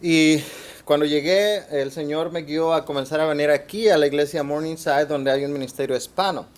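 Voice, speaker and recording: A man with a Mexican accent giving a church workshop talk in short phrases, pausing between them. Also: fairly high pitch, steady tone. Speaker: workshop speaker.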